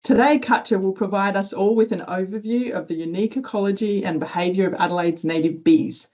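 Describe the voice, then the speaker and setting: A woman's voice: mid-range voice, brisk, dark tone. A woman hosting a webinar, reading a friendly introduction with occasional ums. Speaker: webinar host, team leader.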